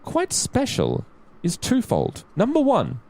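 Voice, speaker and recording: A man's voice: high-pitched, wildly swooping pitch, slow, vocal fry, a little mellow. A man hosting a podcast, chatting casually as he presents episode facts. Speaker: podcast host.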